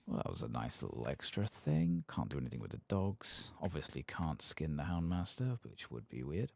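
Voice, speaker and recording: A man with an extremely deep, gravelly voice speaks softly, drifting now and then toward a whisper. He narrates a video game in an ASMR style, unhurried and musing, with lively intonation that rises and dips. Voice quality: soft, hushed, sometimes slipping into whispers. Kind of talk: soft-spoken ASMR game playthrough commentary.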